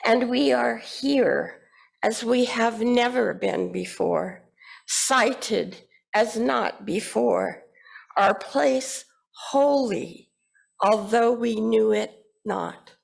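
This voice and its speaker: Female poetry reader, fairly high voice with heavy creak, taking her time. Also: drawn-out words, poor audio. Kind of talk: slow poetry reading.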